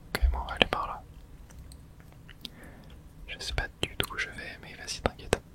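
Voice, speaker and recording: A person whispering breathy, casual video game commentary in ASMR style, thinking aloud in short remarks close to the microphone. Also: moderate pace, a bit bright.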